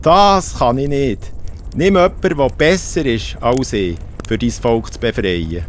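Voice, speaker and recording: An actor playing a humble, fearful shepherd in a children's audio drama, exclaiming in astonishment. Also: male voice, medium pitch, a little slow, slight background noise, natural voice. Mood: fearful pleading.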